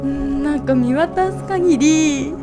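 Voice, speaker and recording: A woman playing a sweet, excitable young woman in love in an amateur radio drama, gushing with wonder. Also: high voice, slow, music in the background. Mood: dreamy, delighted.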